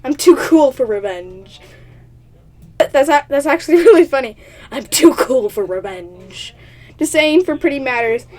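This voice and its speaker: Brief: high-voiced woman reading a game aloud, chatty and excitable, stumbles over words, laughs at herself. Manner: laughs often, talks at herself. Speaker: gaming channel host.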